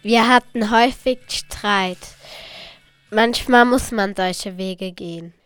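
A woman's voice: mid-range voice, slow pace, fairly bright tone, clear, resonant tone, no room sound, faint music behind. A female performer playing an evasive mother in an amateur school radio play. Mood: hesitant, evasive. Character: evasive mother breaking divorce news to her children.

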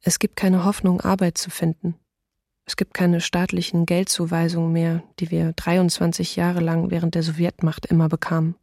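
An actress voicing a weary diarist under occupation, reading in a matter-of-fact way for a radio documentary drama. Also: female voice, fairly low pitch, monotone, moderate pace, darkish tone, no echo, clean studio sound.

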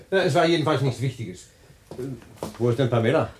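An actor playing a husband hiding a secret, smooth and charming with friends but defensive and quick to lose his temper. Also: mid-range voice, a little hiss or hum, close to an everyday voice. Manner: smooth, then flustered and shouting on the phone. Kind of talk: radio play acting.

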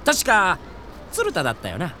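An actor playing a brash, carefree, nosy middle-school gang boss in a comic audio drama, with rough, teasing slang. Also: male voice, high-pitched, huge pitch swings, normal speed, pushed and bright, slight background noise, heavily acted.

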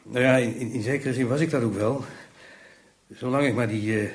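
Halting Dutch recovering alcoholic played by an actor. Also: male voice, mid-range voice, steady tone, lightly acted. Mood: wry, hesitant. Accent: Netherlands Dutch. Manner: halting, hesitant, repeating words. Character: recovering alcoholic man confessing, ashamed and earnest. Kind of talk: radio drama monologue.

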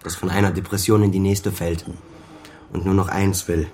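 An actor playing a weary, defensive son and former officer in a naturalistic radio crime drama, speaking in a bitter, guarded way. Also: male voice, sits low, little pitch movement, normal speed, a little mellow, slight background noise, close to an everyday voice. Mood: bitter, sad. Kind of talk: naturalistic German radio play dialogue.

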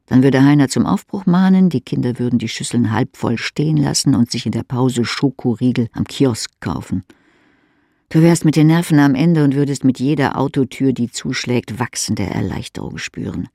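An actor playing an intimate inner-voice narrator in a radio drama, speaking in an insistent, pointed way. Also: male voice, medium pitch, moderate pace, vocal fry, mellow, no echo, studio quality. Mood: bitter, wistful. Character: intimate needling inner-voice narrator addressing a weary wife.

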